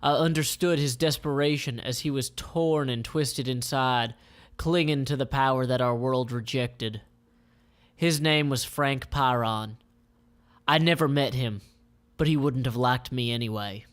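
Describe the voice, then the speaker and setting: A man playing a doomed magician who delivers a grim comic-book monologue in a solemn, deliberate, dramatic way. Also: mid-range voice, fairly bright tone, natural voice.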